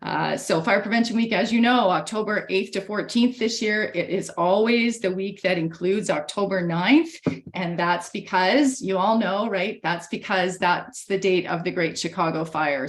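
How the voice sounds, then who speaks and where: A woman losing her voice a bit, chatting through a video-call webinar presentation with lots of fillers and restarts. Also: on the low side. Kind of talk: casual presentation. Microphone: computer mic on a video call.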